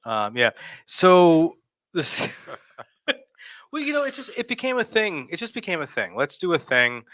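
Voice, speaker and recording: Casting: man in his forties, bright tense voice, dry close mic, rambling casual host. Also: a little high, big pitch swings, measured pace, no echo. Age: 40s. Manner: rambling, self-correcting, laughs often. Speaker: podcast host. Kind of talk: casual chat.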